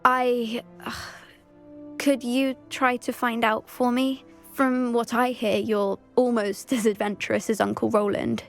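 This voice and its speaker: A woman's voice: on the high side, level tone, a little slow, faint music behind. An actress playing an anxious, earnest teenage schoolgirl in a video game, well-spoken. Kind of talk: video game cutscene acting.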